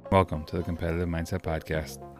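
A man hosting a podcast, reading a scripted intro and then asking long, measured interview questions. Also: sits low, flat delivery, faint music behind. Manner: measured, asks long multi-part questions. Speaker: podcast host.

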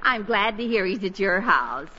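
A woman's voice: mid-range voice, big pitch swings, moderate pace, pushed and bright. A woman playing an anxious, fussing middle-aged wife and mother in 1940s radio comedy style, flustered but insistent. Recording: noisy, dull 1940s radio broadcast.

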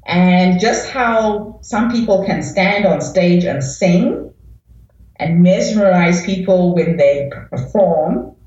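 A woman from Africa, an inspirational speaker, answering interview questions in an earnest, deliberate way. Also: on the low side, lively intonation, a little mellow. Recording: echoey, dull-sounding remote line.

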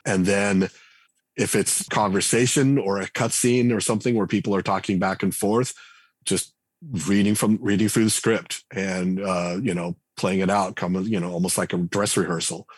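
Interview guest, male, very low voice, loose and chatty, lots of "uh" and restarts. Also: moderate pace, slightly rough, no echo. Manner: relaxed, thinks aloud with many fillers and restarts. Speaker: game writer and designer, guest. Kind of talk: casual interview conversation.

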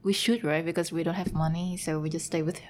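A woman in her twenties from northern Vietnam, speaking English with a Vietnamese accent as a podcast guest, friendly and a little halting. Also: sits low, fairly even intonation. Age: 20s. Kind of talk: casual interview chat.

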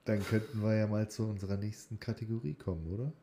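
A young man with a fairly low, very mellow voice. He co-hosts a podcast in casual chat, feeling his way through loosely phrased sentences. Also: fairly even intonation, fast talker, slight background noise. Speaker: podcast co-host.

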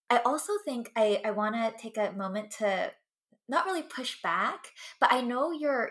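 A young woman speaking earnestly and reflectively in a casual podcast conversation, often checking in with "right?" and "like". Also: medium pitch. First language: English. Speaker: guest.

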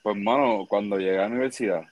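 Puerto Rican man in his 20s, deeper register, easygoing interview guest. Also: fast, muffled recording, slight background noise. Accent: Puerto Rican Spanish. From Puerto Rico. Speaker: baseball pitcher guest. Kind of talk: casual interview chat.

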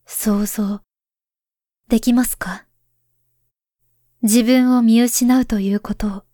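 A woman voicing a hushed, grave letter-writer. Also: a little high, fairly even intonation, takes it slowly, dry recording, studio quality. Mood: earnest. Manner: soft, hushed, often near a whisper. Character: grown woman writing to her past self, grave and pleading. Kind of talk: voice drama letter monologue.

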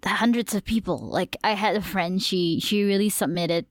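A woman from Malaysia talks casually on a podcast about voice acting, at a middle pitch for a woman. She rambles and restarts her sentences, padding them with "like" and "you know." The sound is dry and close, with no room echo. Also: moderate pace. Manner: rambling with many fillers and restarts. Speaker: podcast co-host, voice actor. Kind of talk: casual chat.

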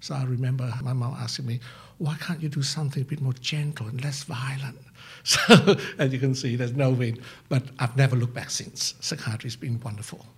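Older man, reflective and halting, rough husky sound, mid pitch. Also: fairly even intonation. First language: Vietnamese. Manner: halting, many fillers, laughs at himself. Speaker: psychiatrist and senior lecturer. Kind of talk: interview answers, reflective.

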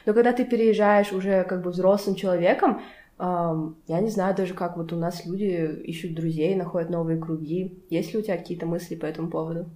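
A woman's voice: on the low side, steady tone, brisk, warm tone. A young woman hosting a casual interview, conversational and probing with follow-up questions. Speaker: podcast host interviewing a guest. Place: indoors in a home. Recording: echoey room.

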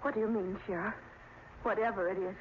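An actress playing a frightened, urgent small-town woman in an old-time radio drama, with a dramatic, halting delivery. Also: medium pitch, steady tone, lightly acted. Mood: anxious. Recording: tinny, very noisy old radio recording.

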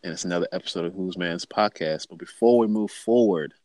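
A man's voice: low-pitched, fairly quick, a little mellow, no echo, clean studio sound. A young man hosting a casual podcast, laid-back and joking, with slangy, easygoing talk. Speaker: podcast host.

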